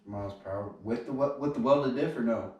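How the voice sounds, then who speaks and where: A man's voice: mid-range voice, brisk, highs cut off. A man with a speech impediment in casual podcast banter, blunt, teasing, self-deprecating and sweary. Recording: echoey room, distant mic, some noise.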